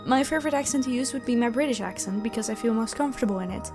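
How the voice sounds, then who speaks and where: A woman with a fairly high voice chats casually as a channel host answering questions. She sounds enthusiastic and expressive, speaking close to the microphone. Soft music plays faintly behind her. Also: very fast. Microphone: close USB microphone. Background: background music.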